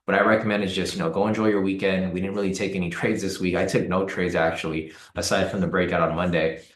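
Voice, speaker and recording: A man hosting a live trading stream, hoarse and raspy from the cold, talking casually with many fillers and repeated words. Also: sits low, flat delivery, fast talker, reverberant. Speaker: trading livestream host. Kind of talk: casual live-stream commentary answering chat.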